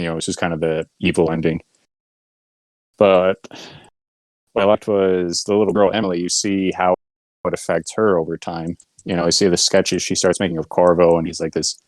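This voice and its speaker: Low-voiced man chatting casually. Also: dry recording. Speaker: podcast co-host. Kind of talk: casual chat about video games.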